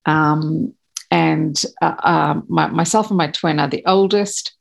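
A woman's voice: low-pitched, steady tone, slow, gravelly. A woman in her fifties from Malawi, a podcast guest talking about her family, hesitant with many fillers and restarts. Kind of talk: casual interview chat.

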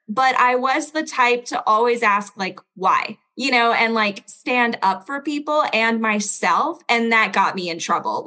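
Bright, chatty young American woman. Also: a little high. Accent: standard American. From born in Belgium, raised overseas. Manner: chatty, lots of "like", laughs easily. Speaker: guest. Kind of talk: casual interview chat. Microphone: studio podcast mic.

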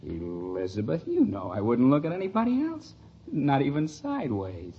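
An actor playing a bewildered, wisecracking middle-aged husband in an exasperated, incredulous manner, in 1950s radio sitcom style. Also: male voice, medium pitch, huge pitch swings, normal speed. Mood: earnest. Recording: muffled, noisy old radio recording.

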